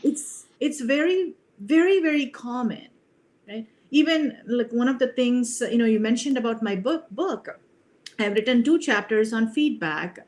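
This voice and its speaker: Earnest woman coach from India, higher voice. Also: expressive, measured pace, a little mellow, a little hiss or hum. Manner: earnest, many "you know" and "right?" fillers. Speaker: leadership coach, guest. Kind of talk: reflective interview talk.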